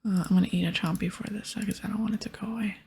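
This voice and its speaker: A woman hosting an ASMR game playthrough, soft-spoken and breathy, often whispering as she narrates and reads text aloud. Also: medium pitch, steady tone, very fast, slight background noise. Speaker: ASMR gaming host.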